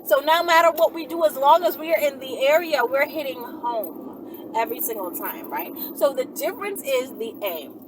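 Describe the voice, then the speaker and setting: A woman vlogger answering viewer questions in a rambling, animated monologue, often checking in with "right?" and "okay?". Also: high voice, normal speed, distant mic, noisy background. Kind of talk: casual Q&A monologue.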